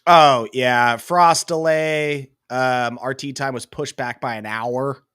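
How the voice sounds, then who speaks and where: Sniffly young dude hosting a sports pod, rambly and self-deprecating, dry sound. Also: male voice, mid-range voice, fairly even intonation, takes it slowly, a bit bright, clean tone, dry recording. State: stuffy head cold. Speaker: podcast co-host. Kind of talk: casual sports podcast chat.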